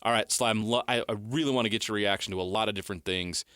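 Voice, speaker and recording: Low-voiced bright sports radio host. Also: male voice, fast, smooth, dry recording, studio quality. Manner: steers the talk, reads sponsor copy. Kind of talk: sports radio talk and ad reads.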